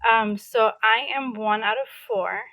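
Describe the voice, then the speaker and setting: A woman's voice: a little high, a little slow, dry recording, narrow, tinny audio. A young adult woman from New City, chatting casually as a podcast guest and filling her talk with "like" and restarts. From New York City. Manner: chatty, many "like" fillers and restarts. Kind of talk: casual interview conversation.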